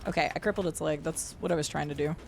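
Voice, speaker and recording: A young adult woman with a gravelly voice and deep vocal fry, chatting casually while streaming a game. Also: steady tone, fast talker, a little hiss or hum. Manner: chatty, swears and laughs at the game. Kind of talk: casual live-stream chat while gaming. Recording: faint game music behind.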